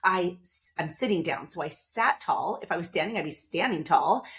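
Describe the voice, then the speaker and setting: A woman's voice: on the low side, lively intonation, normal speed, a bit bright. Chatty upbeat woman podcast host. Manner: upbeat, chatty, addresses listeners directly. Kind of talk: casual motivational monologue. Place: seated indoors.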